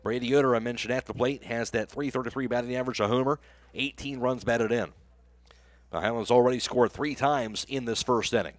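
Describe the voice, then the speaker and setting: A man's voice: mid-range voice, brisk, dry recording. Male American baseball play-by-play announcer. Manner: clipped phrases, describes action as it happens. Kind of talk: live baseball play-by-play commentary.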